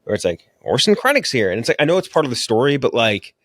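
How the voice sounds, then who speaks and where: Man, brisk pace, dry close sound, casual podcast co-host, slangy, profane, film and TV chat. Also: mid-range voice, sing-song, fairly bright tone, no echo.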